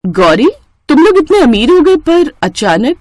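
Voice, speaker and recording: A woman acts out a brazen young elder sister from a cartoon morality tale. Her voice is high and taunting, with a haughty, entitled air. The sound is dry. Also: big pitch swings, measured pace, a little mellow, dry recording. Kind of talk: cartoon moral story acting.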